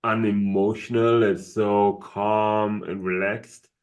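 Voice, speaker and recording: A man co-hosting a podcast in casual chat, often pausing to search for words. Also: fairly low pitch, little pitch movement, lo-fi sound. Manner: searches for words, many fillers. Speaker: podcast co-host.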